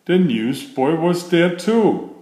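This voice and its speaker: A man reading a children's book aloud as narrator, with expressive, deliberate phrasing. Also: on the high side. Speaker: storybook reader. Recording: roomy, echoing sound.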